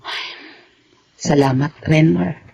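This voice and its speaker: An actress playing a humble, self-sacrificing widowed nanny and mother, gentle and earnest, with a Filipino accent in a radio drama. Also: deep voice, steady tone, slow pace, creaky, lo-fi sound, a little hiss or hum, full character voice. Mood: caring.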